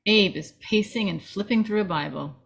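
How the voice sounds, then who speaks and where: A woman's voice: medium pitch, moderate pace, creaky, slightly rough. A woman reading stage directions as scripted narration at a table read.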